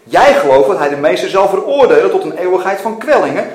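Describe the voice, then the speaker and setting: A man's voice: high-pitched, sing-song, normal speed, vocal fry, darkish tone, pitched above the performer's own voice, heavily acted. A man reading the part of God from a novel, a probing and insistent questioner who can turn tender. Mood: insistent, challenging. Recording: echoey room with faint noise.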